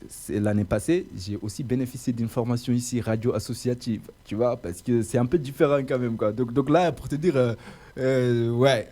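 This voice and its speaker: A man's voice: mid-range voice, normal speed, warm tone. A young man being interviewed about his path into radio, talking earnestly and colloquially, sprinkling his sentences with casual fillers. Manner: earnest, colloquial, heavy use of "tu vois" and "quoi" fillers. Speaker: radio presenter interviewed as guest.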